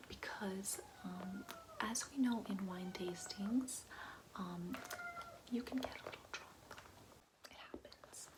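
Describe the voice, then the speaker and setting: A woman whispering a soft-spoken ASMR roleplay as a ditzy, materialistic class instructor, breathy and chatty with girlish, rambling asides. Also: mid-range voice, takes it slowly, pauses often, noisy background. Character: ditzy, materialistic gold-digger giving a class.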